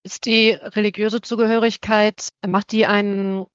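A woman's voice: mid-range voice, flat delivery, measured pace, smooth, no room sound. Polite woman interviewer, dull dry sound. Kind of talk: conversational interview questions. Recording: slightly dull dry recording.

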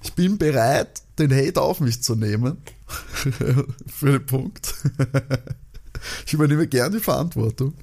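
A man's voice: medium pitch, slow, a little mellow. Austrian man bantering as podcast host. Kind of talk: casual banter.

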